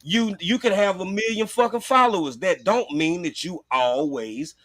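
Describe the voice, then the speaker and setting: A man's voice: sits high, normal speed, a bit bright, no echo. A man chatting casually on a livestream in a blunt, profane, informal way. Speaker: livestream host.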